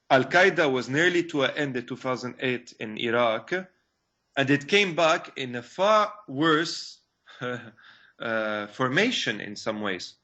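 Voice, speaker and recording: A young man from Syria, a guest activist in a video call interview, speaking earnestly and haltingly with many fillers and restarts. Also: medium pitch, pushed and bright. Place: small room indoors. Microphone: video call webcam. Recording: dull lo-fi call audio.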